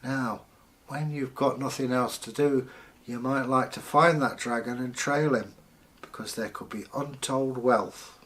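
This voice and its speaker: A man voicing a wry Norse father advising his son in a measured, deadpan way, within a folktale. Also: mid-range voice, natural voice. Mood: cautioning.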